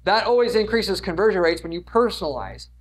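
Guy in his 30s with a pretty high voice explaining marketing stuff, chatty and emphatic. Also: expressive, brisk, husky, low-quality recording. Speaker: marketing instructor. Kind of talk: informal whiteboard lesson, explaining to camera. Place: indoors in front of a whiteboard. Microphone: lapel mic.

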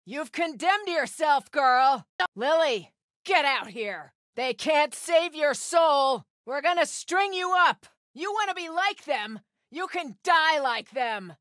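An actress playing a fierce, zealous cult fighter in a video game, shouting menacing battle cries. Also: female voice, high voice, lively intonation, slow, no echo, clean studio sound, exaggerated voice. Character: fierce female cult fanatic in combat. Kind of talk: video game combat barks.

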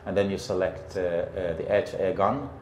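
Deep-voiced calm flight instructor man. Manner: calm, encouraging, with many pauses and fillers. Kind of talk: patient step-by-step instruction. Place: indoor flight simulator dome. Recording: roomy with background hum.

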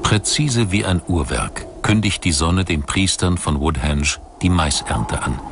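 A man delivering scripted documentary narration in a measured, formal storytelling manner. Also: deep voice, expressive, noisy background. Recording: music bed under voice. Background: background music.

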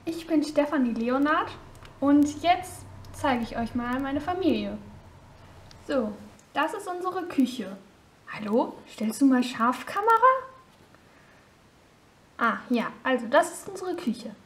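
A woman's voice: high-pitched, lively intonation, slow, pauses often, fairly bright tone, slight background noise, heavily acted. A girl voicing a chatty young mother showing off her home. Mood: chatty cheerful. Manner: chatty, casual tour-guide talk. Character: cheerful mother of two, thirty-three-year-old housewife. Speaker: vlog host mother in a toy figure film. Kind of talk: homemade toy-figure vlog acting. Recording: roomy echo.

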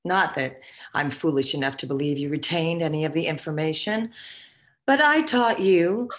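A woman's voice: deep voice, moderate pace, lightly acted. A woman playing the imperious, condescending ghost of a socialite boss in a theatrical comic table read over video call. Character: haughty, foul-mouthed ghost of a dead socialite boss. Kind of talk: virtual table read, comic stage acting.

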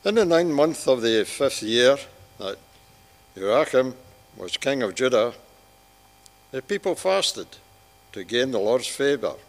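Male scripture reader, mid-range voice, measured phrasing, strong church reverb. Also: medium pitch, big pitch swings. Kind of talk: measured Bible reading aloud. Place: large church hall. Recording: long church hall reverb.

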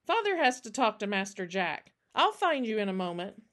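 A woman's voice: mid-range voice, big pitch swings, moderate pace, fairly bright tone. An anxious storybook manservant, as a woman narrator plays him, loyal and fatherly. His words come out urgent and clipped, pushed with some force. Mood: strained.

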